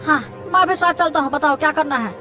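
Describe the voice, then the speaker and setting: An actor voicing a friendly, excitable village fish seller, a man, in a Hindi cartoon story. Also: high-pitched, fast talker, music in the background, crowd noise, natural voice. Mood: alarmed.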